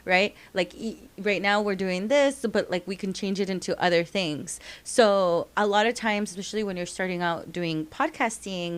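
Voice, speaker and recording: A woman hosts a podcast in a small studio, asking questions in a chatty, encouraging way and tossing in soft "mm-hmm" backchannels. Her voice is smooth and clear, sitting at an ordinary mid pitch. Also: moderate pace, few pauses. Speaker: podcast host. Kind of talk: casual interview chat.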